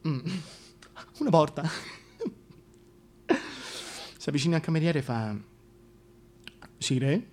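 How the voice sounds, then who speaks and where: A man's voice: on the high side, natural voice. A man playing a gossipy, wistful former mistress of a king in a solo radio play, chatty and confiding. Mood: confiding, nostalgic. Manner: chatty, confiding, colloquial, hesitant. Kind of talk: solo radio theatre monologue.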